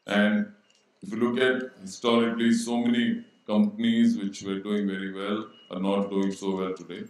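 A middle-aged man speaking on a panel into a handheld mic, measured and posing rhetorical questions to students. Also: on the low side, flat delivery. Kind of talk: panel discussion. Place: large auditorium stage. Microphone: handheld microphone. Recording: very echoey hall.

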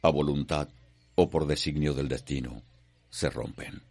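A man's voice: very deep voice, fairly even intonation, a little slow, darkish tone, full character voice. A man delivering solemn, poetic scripted narration for a radio soap opera.

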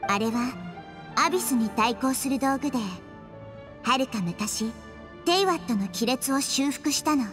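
A woman voicing a gentle, wise, child-like girl deity in a game dub, polite and thoughtful as she explains. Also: high-pitched, unhurried, music in the background. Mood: composed, explaining. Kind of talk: Japanese game dub dialogue.

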